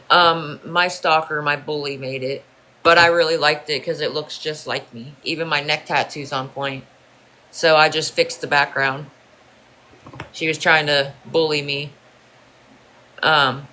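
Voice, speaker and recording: Streamer from Ohio, bright sharp tone, rambling and repetitive, swears freely, casual chat with viewers. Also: medium pitch, slight background noise. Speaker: livestreamer answering chat.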